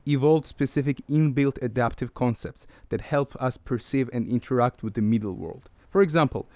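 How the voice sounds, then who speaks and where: Guy narrating a video essay, lecture tone, mid pitch, dry close sound. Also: darkish tone, no room sound. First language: Georgian. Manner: measured, lecturing. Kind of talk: scripted narration.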